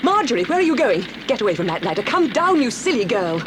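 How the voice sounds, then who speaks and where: A woman's voice: on the high side, big pitch swings, quick pace, noisy background. A woman with an English accent playing an anxious but authoritative headmistress in a children's audio drama, giving urgent commands. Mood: anxious, commanding.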